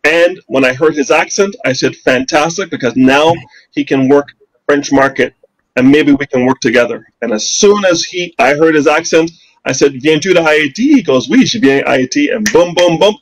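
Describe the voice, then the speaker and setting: A man's voice: on the high side, moderate pace, poor audio. Confident persuasive male advisor. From Canada. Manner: confident, persuasive, uses rhetorical questions. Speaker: financial advisor guest. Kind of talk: interview answers.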